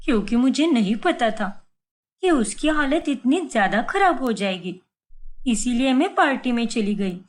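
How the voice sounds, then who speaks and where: A bright, higher voice for a short-tempered young mother in a cartoon family story, as a woman puts her on. She snaps back and defends herself. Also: very animated, normal speed. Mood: worried. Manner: sharp, defensive.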